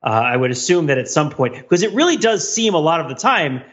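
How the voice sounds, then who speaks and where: A man's voice: a little high, big pitch swings, a little fast, fairly bright tone, highs cut off. A man co-hosting a podcast, discussing film analytically in a wordy, thinking-aloud way, often repeating himself and checking in with "right?". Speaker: podcast co-host.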